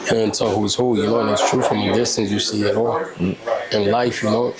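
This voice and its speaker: A low-voiced man in his thirties, a barber from Puerto Rico whose first language is Spanish. He rambles in casual interview talk and keeps tagging "you know" and "like I said". Loud crowd noise sits behind him. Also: flat delivery, brisk, rough recording. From Puerto Rican. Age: 30s.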